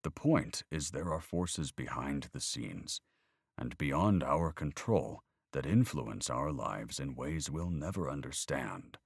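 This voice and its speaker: A man reading an audiobook, voicing a weary older man who talks in a measured, reflective way. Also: extremely low pitch, lively intonation, gravelly, husky, no room sound, studio quality, heavily acted. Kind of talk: audiobook character voice.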